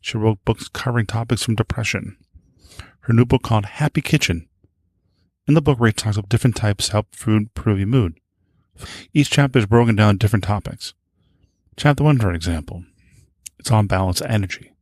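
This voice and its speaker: Low-voiced man hosting a podcast, dry sound. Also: brisk, pauses often, darkish tone, no echo. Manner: informal, rambling, rephrases himself. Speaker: podcast host. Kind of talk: casual podcast introduction and interviewing.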